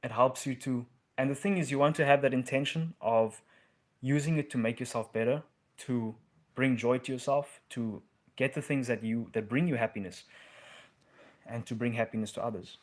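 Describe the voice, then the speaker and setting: A young man talking loosely into his phone on a live stream, full of slang like "bro" and "dope". His pitch sits in the middle and his delivery is relaxed and conversational. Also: moderate pace. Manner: laid-back slang, calls listeners bro. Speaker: live-stream host answering viewer questions. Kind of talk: casual live-stream chat.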